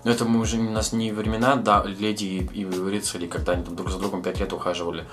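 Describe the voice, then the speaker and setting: A young man on a casual podcast, lecturing and provoking his co-host with quick, blunt arguments. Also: fairly low pitch, little pitch movement, faint music behind.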